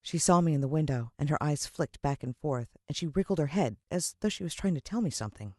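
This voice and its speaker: Female American narrator for children's fiction: expressive, suspenseful storyteller, dry sound. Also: low voice, quick pace, no room sound. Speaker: audiobook narrator.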